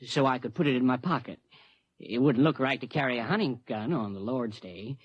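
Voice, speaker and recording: An actor playing a pious, hesitant and apologetic neighbor, a religious fanatic, in a 1950s radio drama. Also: male voice, mid-range voice, a little fast, no room sound, lightly acted. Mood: meek, pleading. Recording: dull, dry vintage radio recording.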